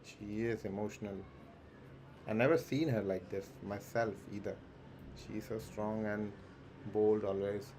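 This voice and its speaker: A man livestreaming a game, reading its dialogue aloud haltingly, with stumbles and self-corrections. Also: fairly low pitch, steady tone. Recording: clearly noisy background.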